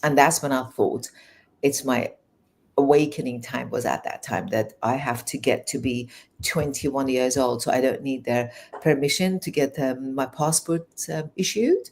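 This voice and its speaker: A middle-aged woman from Iran, a native Farsi speaker whose accent mixes Iranian and English tones, telling her life story as an interview guest in an earnest, animated way. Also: extremely low pitch, normal speed, a little hiss or hum. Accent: Iranian, learned English in England.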